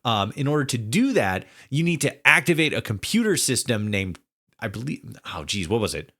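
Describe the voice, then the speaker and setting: A man in a casual podcast conversation, speaking haltingly as he searches for words and repeats himself. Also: medium pitch, big pitch swings, sharp tone. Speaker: podcast guest, audio drama writer. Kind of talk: casual chat.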